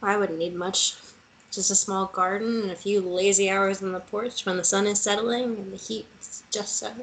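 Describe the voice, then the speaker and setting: A woman's voice: mid-range voice, fairly even intonation, moderate pace, natural voice. A woman playing a tender, hesitant young woman in a naturalistic fantasy romance table read. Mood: wistful. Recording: noisy recording with room ambience.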